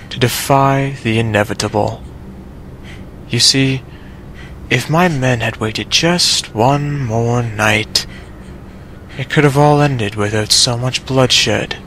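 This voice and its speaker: A man's voice: mid-range voice, pushed and bright, slight background noise. A man playing a vengeful, unhinged vampire villain with a taunting, mock-polite menace in an audio drama. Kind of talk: dramatic audio fiction acting.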